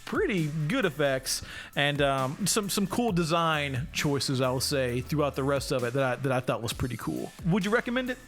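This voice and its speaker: A man's voice: a little high, big pitch swings, normal speed, fairly bright tone, soft background music. A man chatting informally as a film review co-host, with loose, slangy wording. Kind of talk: casual review chat.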